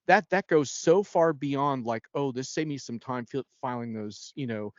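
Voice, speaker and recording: A man's voice: medium pitch, normal speed, a little mellow, no echo, dull audio. A man talking casually and emphatically in a panel discussion, often acting out imagined remarks.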